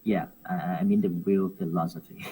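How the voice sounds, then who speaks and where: Man, interview guest, low-ish voice, animated and prone to laughing, stumbles and repeats words. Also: normal speed, slightly rough, poor audio. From Hong Kong. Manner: animated, laughs often, repeats words while searching for phrasing. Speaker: professional wrestler guest. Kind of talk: casual interview chat.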